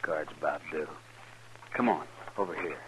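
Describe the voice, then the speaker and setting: Furtive Western wagon hauler, very deep, American, conspiratorial, old slightly noisy radio recording. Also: male voice, moderate pace. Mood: furtive, scheming. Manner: conspiratorial, folksy. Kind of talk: 1950s radio Western acting.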